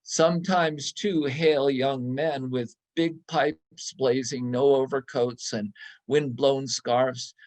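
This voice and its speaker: Guy reading a nostalgic story out loud, really slow n deliberate, middling pitch. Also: steady tone. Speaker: reader in a readers theatre.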